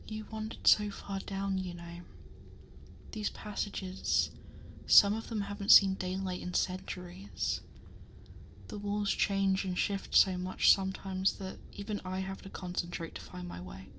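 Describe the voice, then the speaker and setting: Woman voicing a possessive dragon girl, ASMR roleplay, medium pitch, breathy near-whisper, gently scolding, protective, close binaural mic, faint background noise. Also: flat delivery. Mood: tender, fond. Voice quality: soft, breathy, often whispered. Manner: intimate, gently scolding, fussing, deliberate. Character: possessive, worried, protective female dragon girl guarding her hoard. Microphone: close binaural microphone.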